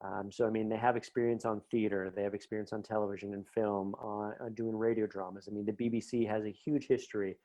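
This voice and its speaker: Low-pitched man, chatty interview guest. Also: level tone, fast, few pauses, mellow, poor audio. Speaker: interview guest, writer and podcast creator. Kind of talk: conversational interview, anecdotes about work.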